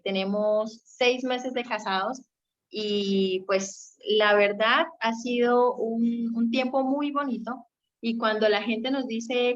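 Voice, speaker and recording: A young Colombian woman giving a conversational teaching talk as a guest, explaining things and checking for agreement as she goes. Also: fairly high pitch. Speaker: guest speaker on a marriage radio segment. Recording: slightly dull, lo-fi sound.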